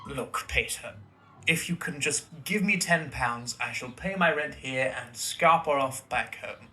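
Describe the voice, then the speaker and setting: A man's voice: mid-range voice, measured pace, sharp tone, soft background music. A man playing a cheeky, scheming son in a period drama, with a breezy, wheedling manner. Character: cheeky scheming son begging money from his father. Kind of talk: period radio drama acting.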